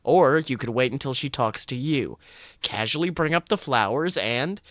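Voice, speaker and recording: A man's voice: medium pitch, expressive, normal speed, a bit bright, no echo, studio quality, close to an everyday voice. A man voicing an upbeat, friendly young man in a story, eager and persuasive. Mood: coaxing.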